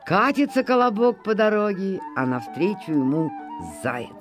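A narrator telling a folk tale in expressive storybook style. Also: medium pitch, moderate pace. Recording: music playing behind.

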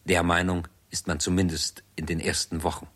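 A man narrating a 1960s German science fiction radio play as a sober chronicler, formal and measured, with a husky voice. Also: sits low, flat delivery, a bit bright, a little hiss or hum. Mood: sober, factual.

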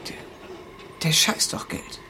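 A man's voice: slow, sharp tone, slight background noise. A man playing a cheeky, sulky teenage son in a naturalistic radio drama, talking in flippant slang.

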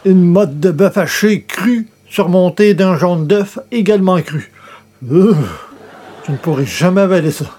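Man playing a fussy newspaper columnist, slow theatrical delivery, muttered hesitant asides, old-time radio comedy, noisy recording. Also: high-pitched, mellow. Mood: dismayed. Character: grumbling, playful male newspaper columnist, fussy vegetarian. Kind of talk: 1940s-style radio comedy acting.

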